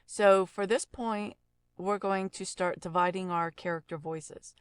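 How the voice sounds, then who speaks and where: Female software instructor, unhurried and plainspoken, casual step-by-step delivery, very dry close recording. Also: medium pitch, fairly even intonation, clear, resonant tone, no room sound. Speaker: tutorial instructor and voice actor.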